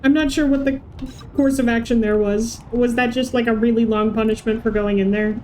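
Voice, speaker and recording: A woman's voice: a little high, fairly even intonation, brisk, warm tone, poor audio. A woman streaming a game, chatting in a casual, playful way. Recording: voice chat with background game noise.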